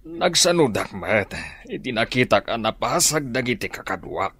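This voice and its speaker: A man's voice: medium pitch, big pitch swings, moderate pace, a bit bright, breathy edge, heavily acted. A man with an Ilocano accent playing an embittered village fighter in a drama. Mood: bitter.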